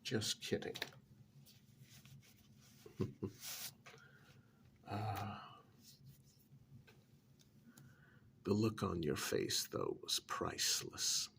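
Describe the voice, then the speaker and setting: Quietly threatening club boss played by a man, ex-military, soft-spoken ASMR delivery, very slow, swinging pitch, breathy and deliberate. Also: sing-song, slight background noise, heavily acted. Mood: mocking threat. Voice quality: breathy half-whisper with gravelly creak. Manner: slow, deliberate, quietly menacing with drawn-out pauses. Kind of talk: soft-spoken ASMR role-play monologue.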